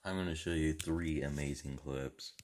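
A man's voice: extremely low pitch, fairly even intonation, normal speed, darkish tone. A young man chatting casually and slangily as a gaming video creator, recorded on a phone in a room. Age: teens to young adult. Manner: slangy, informal. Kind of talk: casual gamer chat. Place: small plain room indoors. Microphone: phone at arm's length.

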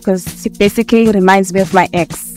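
A woman from South Africa, a dating show contestant, speaking in a slow, deliberate way. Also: mid-range voice, steady tone, darkish tone, soft background music.

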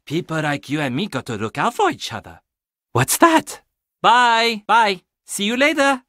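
A man's voice: high-pitched, sing-song, a little slow, pushed and bright, dry recording, clean studio sound, heavily acted. An actor playing a casual, teasing young handyman in a video game, with a laid-back, playful manner. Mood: friendly, approving.

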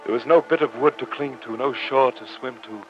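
A man's voice: medium pitch, fairly quick, close to an everyday voice. Guy playing an earnest formal professor in an old radio play, dramatic, muffled sound with music under. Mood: grave narrating. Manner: formal, theatrical, dramatic delivery. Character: earnest male professor, narrator of a sea adventure.

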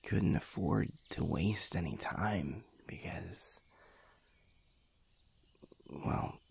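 A very slow, deeply creaky voice for a sweet werewolf lover in an intimate audio roleplay, acted by a man. He is low and breathy, musing tenderly in halting, soft-spoken phrases that often drop toward a whisper. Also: exaggerated voice. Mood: reflective, hushed. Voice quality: breathy, gravelly half-whisper. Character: sweet, reflective werewolf boyfriend, tender and unhurried.

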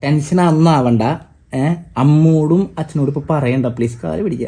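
A man's voice: fairly high pitch, normal speed, vocal fry, dark tone. A young man with a Kerala Malayalam accent, chatting casually and pleading hesitantly, as in a prank phone call. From Kerala, India. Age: young adult. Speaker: prank caller in a comedy channel. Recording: faint background noise.

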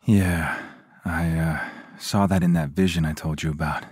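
A man's voice: rumbling low, steady tone, a little slow, a little mellow, slightly rough, no room sound, clean studio sound, exaggerated voice. A male actor playing a tired, wry superhero in a video game, speaking casually and hesitantly. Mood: sheepish, dry.

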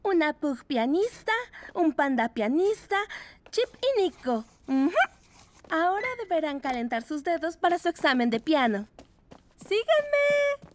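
A woman's voice: sits high, big pitch swings, unhurried, a little hiss or hum. A woman voicing a kindly, bustling meerkat piano teacher in a preschool cartoon, with an encouraging, singsong delivery. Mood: brisk. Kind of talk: preschool cartoon dub acting. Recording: music behind the voice.

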